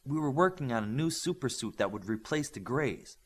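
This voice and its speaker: Earnest young female inventor, acted by a male narrator, enthusiastic, animated intonation, superhero audiobook. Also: medium pitch, a little fast, breathy edge. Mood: earnest explaining.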